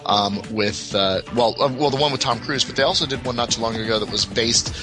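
A man talking casually as an enthusiast podcast guest, rambling and haltingly backtracking as he goes. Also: medium pitch, music in the background.

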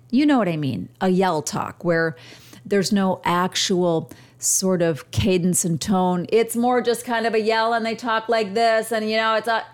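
A woman who hosts a show, speaking as a communication coach with a radio-trained delivery, using deliberate pauses and shifting her volume to demonstrate. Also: mid-range voice. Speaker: podcast host and communication coach. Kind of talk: instructional monologue. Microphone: studio microphone.

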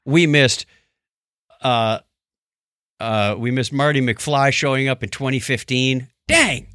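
Guy podcast host bantering thru weird news, kinda wry, really lively pitch, dry recording. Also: slow, clear, resonant tone, no echo. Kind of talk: casual news banter, retelling odd stories.

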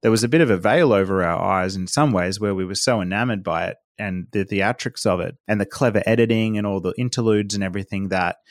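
A man's voice: lowish voice, no room sound, studio quality. A man hosting a casual roundtable discussion and talking loosely with fillers and restarts. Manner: conversational, with filler words and restarts. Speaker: podcast host.

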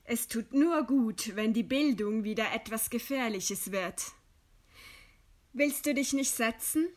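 An actress playing a proud, composed dissident writer in a German radio play, speaking with measured, scornful eloquence. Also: female voice, fairly high pitch. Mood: calm irony. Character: condemned dissident woman writer, widowed, proud, defiant, composed.

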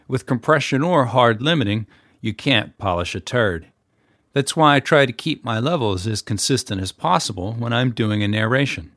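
A man who produces and narrates podcasts, giving an explanatory tutorial in a measured way. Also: medium pitch, fairly bright tone, no echo, studio quality.

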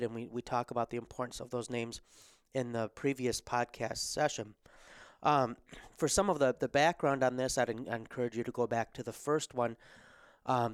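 A man delivers a recorded theology lecture from a studio, his voice slightly hoarse as he loses it. He talks haltingly, with many ums, restarts and cleared throats. The sound is dry and close, and his tone stays smooth and resonant under the strain. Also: mid-range voice, no room sound. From America. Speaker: theology lecturer and podcast host.

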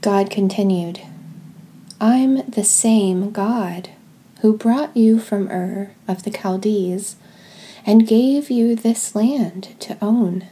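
A woman leading a guided devotional reading in a gentle, deliberate way, pausing between short phrases. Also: mid-range voice, slight background noise. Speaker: podcast host leading guided prayer and scripture reading.

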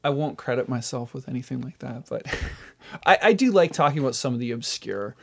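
A man's voice: medium pitch, lively intonation, fairly quick, creaky, husky. A man in a casual interview as the guest, an opinionated enthusiast who likes explaining details. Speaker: interview guest, TV DVD website founder.

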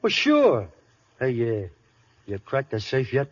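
An actor playing a tough-talking male gangster with a husky voice and slangy, menacing speech in a 1930s radio play. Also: medium pitch, wildly swooping pitch, slow, muffled recording, heavily acted. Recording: scratchy 1930s radio broadcast.

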